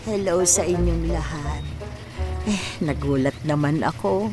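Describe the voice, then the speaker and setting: A woman's voice: low-pitched, measured pace, over loud music. A woman playing a humble, grateful, deferential new housemaid in a Filipino radio drama.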